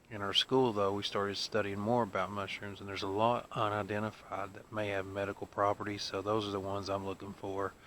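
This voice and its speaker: A man's voice: fairly low pitch, pushed and bright. A man hosting an outdoor vlog, explaining things casually to camera with folksy, hesitant phrasing, recorded outside in the woods. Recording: noisy outdoor camera audio.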